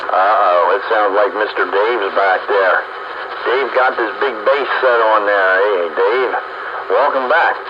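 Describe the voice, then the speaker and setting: A man's voice: lowish voice, unhurried. A man in casual, folksy, easygoing banter as an amateur radio operator, coming through a radio transmission. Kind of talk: casual chat over ham radio. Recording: muffled radio with clear static noise.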